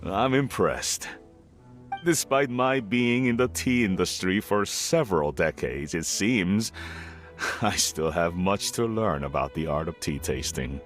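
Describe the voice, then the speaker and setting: It's a respectful, formal middle-aged father and tea trader in a video game. The voice is deep and deferential. Faint music plays underneath. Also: slow. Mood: admiring.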